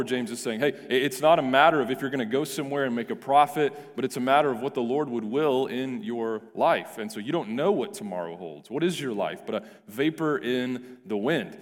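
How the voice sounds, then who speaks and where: A male pastor with a hoarse, deep, raspy voice, praying and then preaching earnestly, often restarting and repeating words. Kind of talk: prayer and sermon. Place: church sanctuary. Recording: reverberant church hall with soft music.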